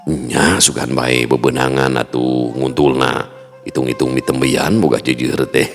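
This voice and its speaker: A man's voice: extremely low pitch, steady tone, measured pace, pitched below the performer's own voice. A man doing a wry, teasing young man. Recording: long reverb, faint music behind.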